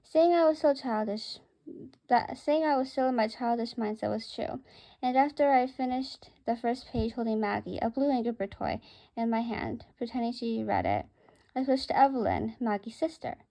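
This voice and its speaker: A woman's voice: a little high, animated, normal speed, dark tone, rough recording. A woman reading a first-person story aloud, now and then stumbling and repeating a phrase.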